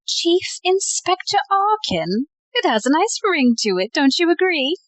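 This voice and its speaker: A woman's voice: extremely high pitch, sing-song, unhurried, no echo, heavily acted. A woman playing a genteel, coy and self-possessed lady in a comedic audio play.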